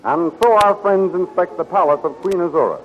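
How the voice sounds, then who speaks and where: A man's voice: high-pitched, brisk, a bit bright, soft background music. A man narrating a 1930s radio adventure serial as a dramatic storyteller. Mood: dramatic, suspenseful. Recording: muffled, hissy 1930s radio recording.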